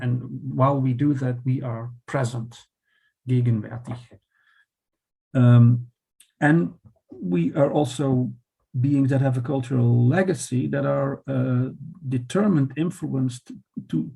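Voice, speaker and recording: Gravelly, husky Dutch-accented man. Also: medium pitch, dark tone, rough recording. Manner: thoughtful, hesitant, frequent restarts and "right?" checks. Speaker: philosopher guest. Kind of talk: conversational explanation.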